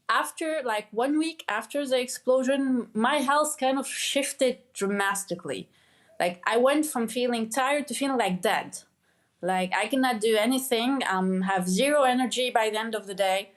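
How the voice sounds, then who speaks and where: Halting young woman from Lebanon. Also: fairly high pitch. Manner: halting, many restarts and "like" fillers. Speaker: guest, medical doctor. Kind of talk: conversational interview.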